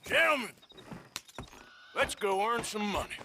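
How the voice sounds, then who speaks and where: An actor playing a gruff, sardonic middle-aged outlaw with an American accent in a Western video game. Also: male voice, sits high, expressive, measured pace, exaggerated voice. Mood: commanding, heated. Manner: sardonic, blunt, commanding. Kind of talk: video game cinematic acting.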